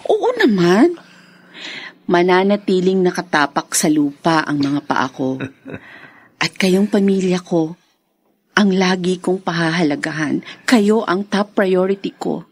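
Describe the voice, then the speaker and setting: Casting: loving, excitable wife and mother in radio drama, breathy voice, dry close sound. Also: on the low side, takes it slowly, long pauses, dry recording, full character voice. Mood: tender, earnest. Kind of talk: Filipino radio drama acting.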